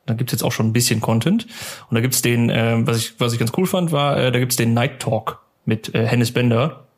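A man's voice: mid-range voice, fairly even intonation, a little fast. A man co-hosting a podcast in an informal, easygoing chat style. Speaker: podcast co-host.

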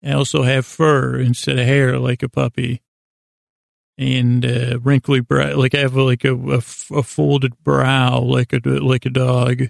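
A man with a gravelly voice hosting a bedtime podcast, talking in a hesitant, meandering way, stumbling over words and drifting off into tangents to lull listeners to sleep. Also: mid-range voice, completely flat delivery, measured pace, dry recording, studio quality. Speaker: podcast host. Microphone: close studio mic.

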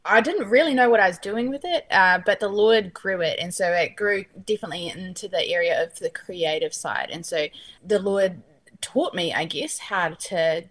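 Bubbly young Kiwi woman guest, fairly low voice, New Zealand accent, quick to laugh. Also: husky. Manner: bubbly, laughs often, animated. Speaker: guest, artist and ministry founder. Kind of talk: casual interview chat.

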